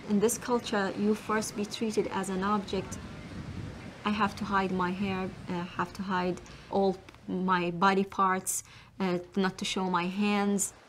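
A woman's voice: medium pitch, level tone, a little slow, a little hiss or hum. A woman in her twenties from Iraq, speaking plainly and a little hesitantly in an interview. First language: Arabic. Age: 20s. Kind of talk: documentary interview.

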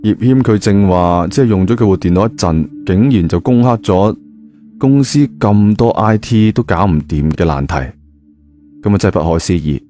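A man with a Cantonese accent narrating an audio drama in a lively, colloquial storytelling style. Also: lowish voice, normal speed. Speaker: audio drama narrator, also voicing the male lead. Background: background music.

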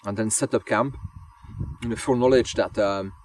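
Male, young adult, hobby camper; halting, um-heavy interview answers; slightly low voice, off-mic, heavy music bed. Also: steady tone. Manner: hesitant, many ums and restarts. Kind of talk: casual reflective interview answers. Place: outdoors on moorland. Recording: distant voice under loud music.

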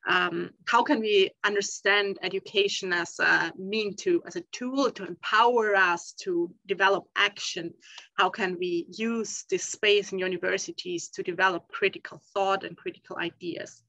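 A woman's voice: medium pitch, steady tone, moderate pace, pushed and bright, no echo. A woman from Austria with an Austrian German accent, giving an academic talk on a video call, thoughtful and full of ums. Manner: thoughtful, many ums and uhs. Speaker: conference panelist. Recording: dull lo-fi video call audio.

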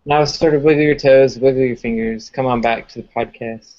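A man hosting a podcast who closes a guided meditation in a calm, soothing way and welcomes a guest. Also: medium pitch, brisk. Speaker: podcast host. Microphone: webcam on video call. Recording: dull lo-fi webcam audio.

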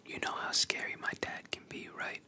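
A storyteller voicing a spoiled, bullying young captain's son in a breathy whisper, as in a manga retelling. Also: moderate pace, sharp tone. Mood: scornful. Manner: bullying, boastful.

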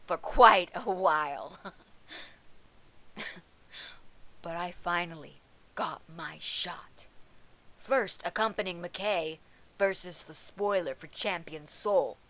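A cocky seven-foot wrestler, slow and low. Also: female voice, gravelly, sharp tone, slight background noise, exaggerated voice, low-quality recording. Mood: wry. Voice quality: wry, irreverent, profane. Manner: drawling, sarcastic asides. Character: brash seven-foot female pro wrestler, crude and cocky. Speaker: wrestler telling her story. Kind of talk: scripted web-show monologue.

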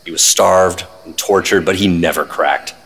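An actor playing a secretive, world-weary 1950s government agent, terse and deadpan, narrating an old-time radio drama. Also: male voice, sits low, moderate pace, exaggerated voice. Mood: serious, reflective. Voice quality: husky. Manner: terse, deadpan, hard-boiled.

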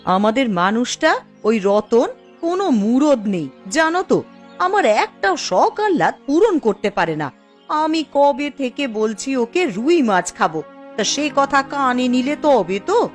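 A woman's voice: sits high, big pitch swings, a little slow, faint music behind, exaggerated voice. A woman voicing a discontented, ruthless village wife in a cartoon, dramatic and scheming. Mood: bitter complaining. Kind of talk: Bengali fairy-tale cartoon voice acting.

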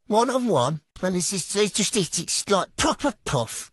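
A man's voice: very animated, a little slow, slight background noise. A British man with a foul-mouthed, exasperated manner commentates on his own football gaming through a trickster voice filter. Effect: pitch-altering trickster voice filter. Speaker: gamer commentating on own football game play.

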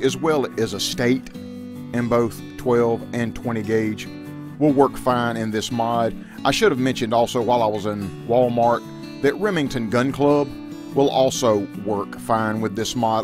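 A man's voice: mid-range voice, a little slow, over music. A middle-aged man giving casual how-to vlog talk, folksy and speaking directly to viewers. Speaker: outdoors and shooting vlogger.

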